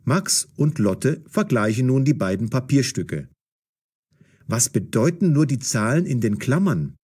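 Slow, husky, expressive male storyteller. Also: mid-range voice. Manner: deliberate, carefully enunciated, dramatic storytelling. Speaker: narrator. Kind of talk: scripted story narration for language learners.

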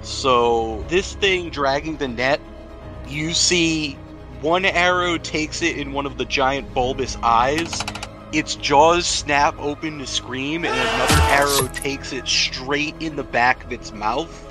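A man's voice: on the high side, slow pace. A man speaking casually as game master, running and narrating a tabletop roleplaying game. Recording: background music under the voice. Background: music.

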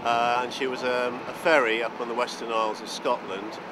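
A man's voice: mid-range voice, level tone, a little fast. Middle-aged man, chief purser, repeats himself as he talks; recorded on an open deck with heavy outdoor noise. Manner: repeats words while gathering thoughts. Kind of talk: interview answers.

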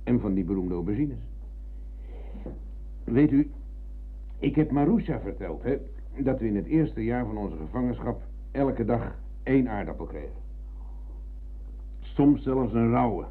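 A man playing a proud, self-righteous old ex-prisoner in a radio drama, husky and emphatic, telling things with rhetorical flourishes. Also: mid-range voice, lively intonation, unhurried, pauses often. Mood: reminiscing, proud. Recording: muffled, narrow-band old radio recording.

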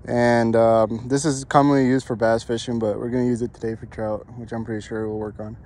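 A young man casually narrating his fishing trip outdoors, relaxed and chatty as he explains what he is doing. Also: mid-range voice, a little fast. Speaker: fishing vlogger. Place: outdoors by a pond on a windy day. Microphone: camera-mounted mic, point-of-view and selfie framing. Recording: faint wind and outdoor noise.

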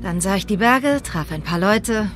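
A woman's voice: medium pitch, animated, normal speed, close to an everyday voice. Game role: woman as sociable young townswoman, middle register, casual and open. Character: sociable young woman geologist, new in town. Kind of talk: video game dialogue acting.

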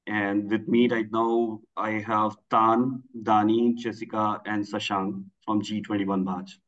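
A man slowly listing group members on a video call, heard through distant muffled audio. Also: fairly low pitch, little pitch movement, a bit bright. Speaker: breakout group reporter.

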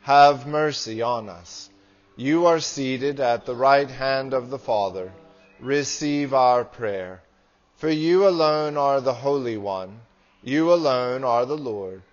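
A man in his thirties, a priest reading prayers and scripture in a solemn, measured liturgical style. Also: mid-range voice, highs cut off. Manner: solemn, measured, deliberate phrasing. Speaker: priest leading a church service. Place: small church with hard walls.

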